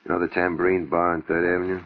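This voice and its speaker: An actor playing a measured, doubting tough man of authority in a vintage radio crime drama. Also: sits low, little pitch movement, lightly acted. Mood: cool, measured. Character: skeptical, authoritative male police captain and smooth racketeer. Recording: muffled vintage radio recording.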